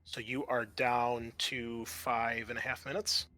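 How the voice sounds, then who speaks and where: A man's voice: mid-range voice, flat delivery, slow, bright, forceful tone, dry recording. A man acting as game master, giving rulings and narration in a tabletop roleplaying game.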